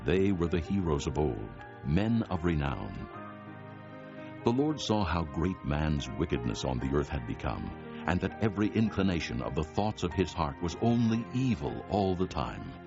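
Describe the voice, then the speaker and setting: A man narrating dramatized scripture as a solemn, measured storyteller. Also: extremely low pitch, big pitch swings, creaky. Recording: dull sound with music underneath. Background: music.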